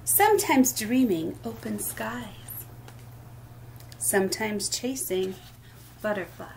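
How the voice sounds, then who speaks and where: A woman hosting a children's story time, reading a picture book aloud expressively in short, deliberate phrases. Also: medium pitch, vocal fry, darkish tone, a little hiss or hum, poor audio.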